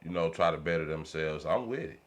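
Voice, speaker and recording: A man's voice: very deep voice, lively intonation, a little fast. A man sharing his view informally as a panelist in a casual podcast conversation. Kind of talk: casual opinion chat. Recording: faint background noise.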